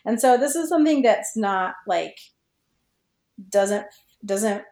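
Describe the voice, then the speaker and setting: A woman's voice: medium pitch, animated, slow pace, dark tone. A woman podcast guest chatting casually and reflectively about her writing, searching for words and often saying "like". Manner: hesitant, searching for words, many "like"s. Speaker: podcast guest, fan fiction writer. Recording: roomy, echoey sound.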